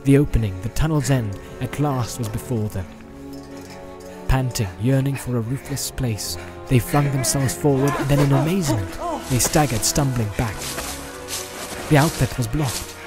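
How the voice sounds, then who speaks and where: Loud music surrounds a man's medium-pitched voice as he narrates a storybook. His delivery is solemn and measured, with dramatic weight given to the prose. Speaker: audiobook narrator. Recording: loud background music and sound effects. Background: loud cinematic music.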